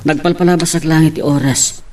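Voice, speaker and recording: A man's voice: fairly high pitch, fairly even intonation, fairly quick, darkish tone, soft background music, natural voice. A man playing a troubled young husband with an Ilocano accent, confiding earnestly in a drama. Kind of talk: Ilocano radio-style drama acting.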